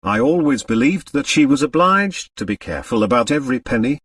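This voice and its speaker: Man playing a blustery middle-aged doctor, swooping pitch. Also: fairly quick, no echo, clean studio sound, recorded from a distance. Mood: astonished. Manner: energetic, emphatic, fuming.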